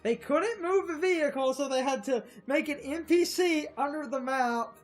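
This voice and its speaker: A woman's voice: high-pitched, normal speed, faint music behind. A woman chatting casually as a co-commentator on a video game let's play. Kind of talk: casual gaming chat.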